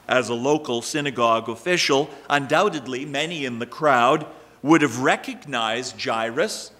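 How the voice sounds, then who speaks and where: Older male pastor, slow deliberate delivery, bright tone, pulpit mic in a church sanctuary. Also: on the high side. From Canada. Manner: measured, expressive preaching with emphatic rises. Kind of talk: sermon.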